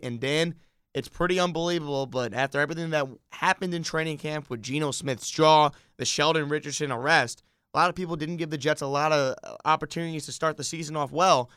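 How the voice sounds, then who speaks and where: A man's voice: a little high, a bit bright, clear, resonant tone, no echo, studio quality. An American man hosting a sports podcast, talking through games with a fan's enthusiasm. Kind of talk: sports talk commentary.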